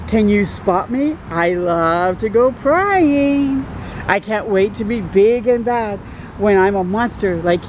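A woman voicing an eager little boy monster, asking wondering, drawn-out storybook questions of his dad. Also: medium pitch, darkish tone, a little hiss or hum, voice pushed down, natural voice.

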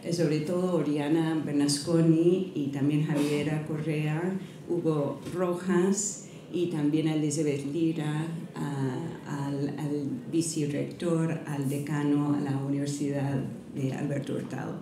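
A middle-aged woman, not a native speaker, giving a careful, halting academic lecture at a podium, partly read aloud, with many restarts. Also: low voice, level tone, background noise. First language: not Spanish. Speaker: guest lecturer. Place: university lecture hall, at a podium. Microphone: podium microphone. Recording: echoey hall, mic from a distance.

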